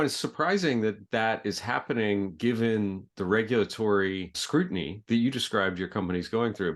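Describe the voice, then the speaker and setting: A man hosting a podcast interview in a conversational, reflective way, summing up and probing. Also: on the low side.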